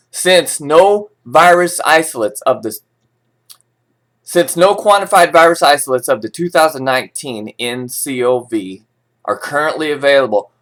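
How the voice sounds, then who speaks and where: A man's voice: a little high, a bit bright. A man talking casually and digressively, mixing read-out financial news with his own opinionated, informal asides. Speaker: vlogger reading forex news with commentary. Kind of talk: casual rambling talk mixed with read-out news.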